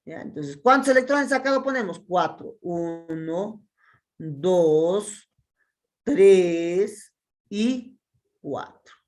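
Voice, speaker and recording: A woman's voice: on the low side, animated, very slow, darkish tone. A Peruvian woman teaching a chemistry class, working through exercises and asking her students questions that she often answers herself. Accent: Peruvian Spanish. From Peru. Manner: asks students questions and answers them herself, counting step by step. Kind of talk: classroom lecture solving exercises.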